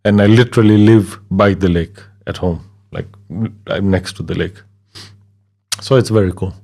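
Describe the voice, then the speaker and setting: Guest: relaxed man from Kenya, 30s, lowish voice, easygoing pauses. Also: gravelly. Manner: relaxed, hesitant with many fillers, laughs easily. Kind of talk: casual interview conversation.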